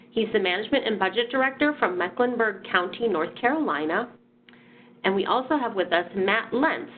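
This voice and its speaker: A woman's voice: medium pitch, a little hiss or hum. A woman hosting and presenting a webinar, a bit nasal from a sinus infection, talking in a friendly way with many ums and restarts. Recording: phone-like audio.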